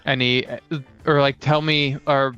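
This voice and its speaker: A man's voice: mid-range voice, little pitch movement, unhurried, sharp tone, smooth, lo-fi sound, faint music behind. A man co-hosting a casual talk about tabletop games as a game master, thinking aloud as he goes. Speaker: podcast co-host and game master.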